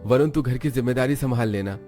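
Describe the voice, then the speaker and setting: A man voicing a caring, responsible elder son saying goodbye in an animated story. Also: mid-range voice, fast, soft background music, close to an everyday voice.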